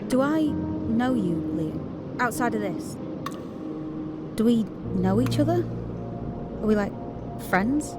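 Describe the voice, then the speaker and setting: A woman's voice: on the high side, lively intonation, slow, pauses often, very noisy. An actress playing a puzzled, insistent woman in an audio drama game, asking questions in a direct manner. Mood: puzzled, uneasy.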